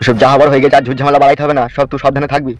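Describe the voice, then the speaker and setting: A man playing a wounded older village father in hospital, hushing and cautioning his son. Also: mid-range voice, completely flat delivery, rapid-fire, soft background music, lightly acted. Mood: pleading.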